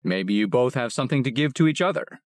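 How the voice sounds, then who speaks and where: A man voicing a calm, feral, mystical street man who speaks slowly and gravely. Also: mid-range voice, no room sound, lightly acted. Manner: slow, grave, measured.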